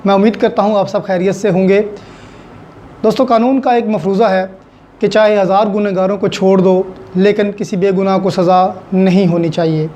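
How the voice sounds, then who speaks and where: A man's voice: sits high, fairly even intonation, moderate pace, mellow, lo-fi sound. A man with a Pakistani accent giving an explanatory lecture to students as a law teacher, methodical and using examples, mixing in English legal terms.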